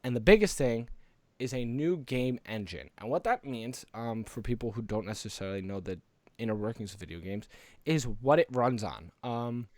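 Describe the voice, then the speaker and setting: A man's voice: mid-range voice, expressive, normal speed, dry recording. A man co-hosting a casual gaming podcast, talking in a conversational, opinionated way as he explains his views. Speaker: podcast co-host.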